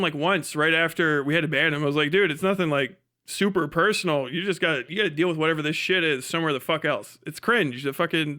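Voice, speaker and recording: A man's voice: a little high, sharp tone. A man livestreaming mocking commentary on a video, casual and sweary, with incredulous exclamations.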